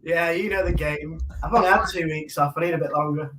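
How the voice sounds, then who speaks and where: A man with a croaky, hoarse voice chatting casually and jokingly on a livestream. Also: a little high, fairly even intonation, fairly quick, crowd noise. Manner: self-mocking, jokey banter. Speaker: co-host.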